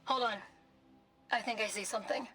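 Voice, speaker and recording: High-voiced, impatient game underling. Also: female voice, brisk, pushed and bright, faint music behind. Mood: exasperated, alert. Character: impatient, complaining female subordinate searcher. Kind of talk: video game voice acting.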